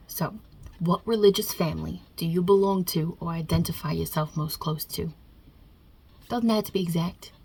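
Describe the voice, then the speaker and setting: Husky New York Italian mob-boss deity for ASMR roleplay, non-binary, low register, wry, hesitant, muttering asides played by a woman. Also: darkish tone. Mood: wry, casual. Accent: put-on Italian-American New York.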